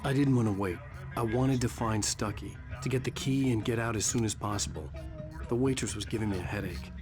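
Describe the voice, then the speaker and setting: An actor playing a weary writer in a video game thriller, dry, understated and slightly irritated. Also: male voice, lowish voice, a little fast, over music, lightly acted. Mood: dry, reserved. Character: dry, weary male writer narrating his own thriller.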